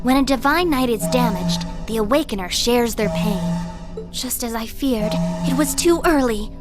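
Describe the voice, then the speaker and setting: A woman's voice: a little high, animated, moderate pace, natural voice. A woman playing an earnest young ally in a fantasy war video game cutscene. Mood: grave. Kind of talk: English dub video game cutscene acting. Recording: prominent music behind.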